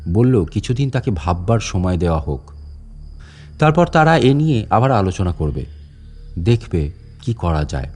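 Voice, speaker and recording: A man's voice: mid-range voice, darkish tone. A man playing an aging, deferential guide at a lonely fort, telling a dramatic story in a conversational, insinuating way. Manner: conversational, insinuating, theatrical with rhetorical questions. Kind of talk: dramatic first-person audio story narration in Bengali storytelling.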